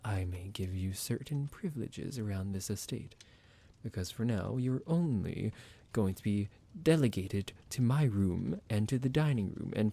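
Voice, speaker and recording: A man voicing a possessive, condescending vampire doctor in an ASMR roleplay, speaking in a measured, formal, menacing and softly commanding way. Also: lowish voice, gravelly, exaggerated voice. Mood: condescending, amused.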